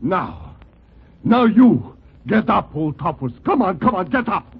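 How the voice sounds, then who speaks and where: An actor playing a stubborn, commanding young foreign king turned boxer in a 1940s radio drama, with stilted, deliberate phrasing. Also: high-pitched, big pitch swings, exaggerated voice. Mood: urgent, commanding. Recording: tinny, noisy 1940s radio recording.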